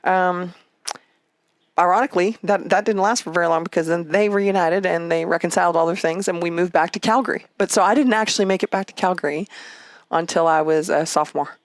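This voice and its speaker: A woman's voice: lowish voice, a little fast, no echo. A woman in her fifties from Canada, telling her life story as an interview guest in a casual, chatty and reflective way. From Canada and Egypt. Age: 50s.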